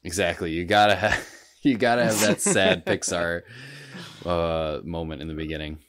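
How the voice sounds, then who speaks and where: Deep-voiced man chatting casually. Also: steady tone, moderate pace, fairly bright tone. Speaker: podcast co-host. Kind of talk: casual podcast chat.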